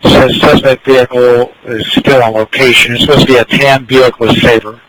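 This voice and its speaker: A man's voice: medium pitch, little pitch movement, normal speed, very noisy. A man working as a police dispatcher, relaying calls in clipped procedural phrases over a scanner radio. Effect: police radio transmission.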